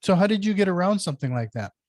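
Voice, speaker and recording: Podcast host, man, slight northern accent, higher voice, dry close mic. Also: very animated, very fast, darkish tone, no echo. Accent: slight Canadian-sounding northern accent. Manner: short backchannels, easygoing questions. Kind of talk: podcast interview.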